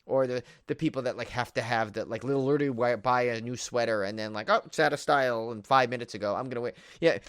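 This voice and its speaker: A man's voice: mid-range voice, fast, few pauses, a bit bright, smooth, no echo, clean studio sound. A man co-hosting a podcast in casual film-review chat, rambling and repeating himself with enthusiastic emphasis. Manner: rambling, repeats himself, piles up "like" and "you know". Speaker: podcast co-host.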